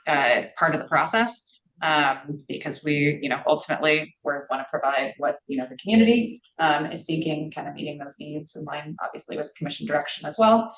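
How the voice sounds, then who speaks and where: A woman with a low voice presents to a port board of commissioners. She speaks in a measured, careful way, padding her sentences with ums. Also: a bit bright, poor audio. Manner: measured, frequent ums and hedging fillers. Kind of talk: public meeting presentation.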